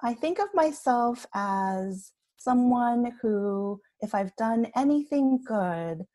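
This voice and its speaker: A woman's voice: a little high, animated, takes it slowly, dark tone. A woman in her fifties from Canada, a coach speaking as a podcast guest, reflective and laughing often. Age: 50s.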